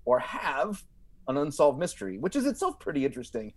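An American man telling comic stories casually as a podcast guest, animated and prone to digressions. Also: a little high, a little fast. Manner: animated, digressive, acts out quotes.

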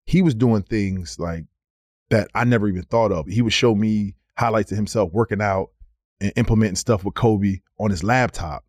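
A man in his thirties, a former pro basketball player, telling stories on a podcast in a casual, animated way, repeating words as he goes. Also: on the low side, moderate pace, no echo, studio quality. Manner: animated storyteller, slips into quoted voices, repeats words. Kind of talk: casual storytelling.

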